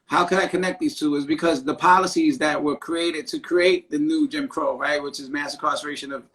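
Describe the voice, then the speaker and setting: Man, fairly high voice, animated and emphatic, casual live-stream host, tags lines with "right?". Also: a little fast. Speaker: podcast host; therapist.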